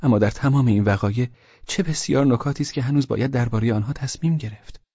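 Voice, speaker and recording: A man voicing a worried, kind middle-aged lady who gives gentle, partly whispered warnings. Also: mid-range voice, husky, no echo, pitched above the performer's own voice. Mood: concerned. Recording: dry, slightly dull recording.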